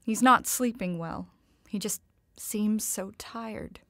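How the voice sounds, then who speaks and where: A woman playing a devoted, gently worried mother in a nostalgic period audio drama, speaking in a genteel, tender and proper way. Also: medium pitch, slow, creaky, no echo, studio quality, exaggerated voice.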